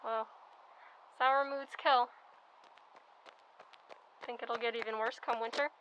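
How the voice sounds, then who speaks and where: A woman's voice: fairly high pitch, fairly even intonation, takes it slowly, a bit bright, highs cut off, slight background noise. A young woman playing a wry but firm nurse in a radio drama. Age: young adult.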